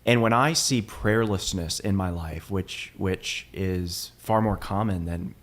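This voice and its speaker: A young man with a lowish voice talks as a pastor. He is earnest and measured, pausing to restart his thoughts as he reflects. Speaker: lead pastor, interviewer. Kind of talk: reflective conversation.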